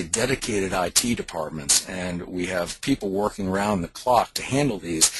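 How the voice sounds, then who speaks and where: A man's voice: lowish voice, a bit bright, a little hiss or hum, low-quality recording. A man speaking as a company executive, giving an emphatic, insistent promotional presentation on a conference call. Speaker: company executive addressing sales leaders. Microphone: telephone conference call.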